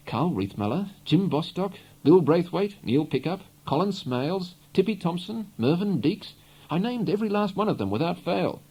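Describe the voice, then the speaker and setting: Mellow male audiobook narrator, lively intonation. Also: medium pitch, rough recording. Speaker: audiobook reader. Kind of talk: scripted literary narration in first person.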